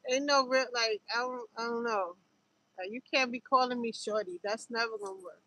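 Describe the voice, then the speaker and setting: A woman's voice: fairly high pitch, normal speed, pushed and bright, poor audio. A woman with a New York accent chatting casually on a livestream in a rambling, slangy, informal way. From Harlem, New York City, United States. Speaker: livestream host.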